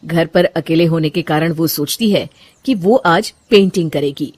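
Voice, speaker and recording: A woman's voice: sits low, unhurried, a little mellow. A woman narrating a scripted cartoon story.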